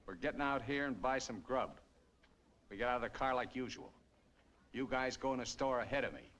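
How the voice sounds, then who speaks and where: An actor playing a menacing young American killer who talks in curt, threatening commands, in 1950s film noir style. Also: male voice, mid-range voice, expressive, brisk, fairly bright tone, close to an everyday voice. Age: 20s. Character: menacing, taunting young male killer holding captives at gunpoint. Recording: noisy old film soundtrack.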